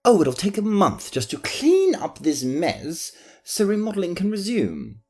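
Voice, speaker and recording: A greedy, cowardly noble whose husky voice swings wildly up and down as he frets and stammers. He drags out his words slowly, and his pleading cracks into panic in this fantasy audio drama. A low, breathy delivery sits under it all. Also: huge pitch swings, mellow, exaggerated voice. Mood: fretful. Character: cowardly greedy middle-aged male noble merchant.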